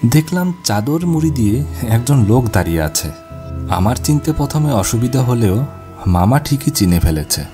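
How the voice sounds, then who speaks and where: A man's voice: medium pitch, big pitch swings, normal speed. A man reading scripted first-person ghost story narration for an animation. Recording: music bed behind the voice. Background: music.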